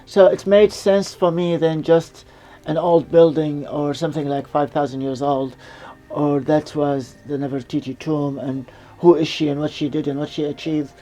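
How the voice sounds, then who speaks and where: A woman's voice: sits low, steady tone, moderate pace, warm tone, poor audio. A woman from Iraq, hosting her TV show in a reflective, rambling monologue with loose grammar. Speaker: TV show host.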